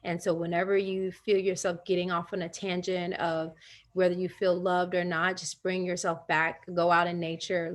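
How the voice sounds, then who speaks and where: A woman leading a live virtual wellness workshop from home over a video call, talking in a chatty, personal way and laughing often. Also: on the low side.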